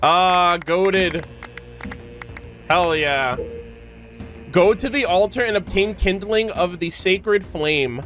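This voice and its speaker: A man's voice: sits high, slow pace, long pauses, a bit bright. A man giving casual live gameplay commentary as a streamer, using gamer slang and talking to his chat. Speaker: streamer reacting to a game. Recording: game music behind the voice.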